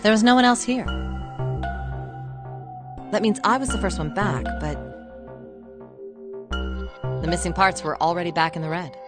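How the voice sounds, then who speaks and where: Woman playing a cool, low-voiced mature woman. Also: normal speed, pauses often, music in the background, close to an everyday voice. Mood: matter-of-fact. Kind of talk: anime game voice acting.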